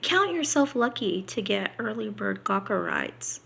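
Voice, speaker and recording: A woman reading a book aloud unrehearsed, halting here and there and slipping into casual asides. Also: medium pitch, sing-song, vocal fry, a bit bright, husky.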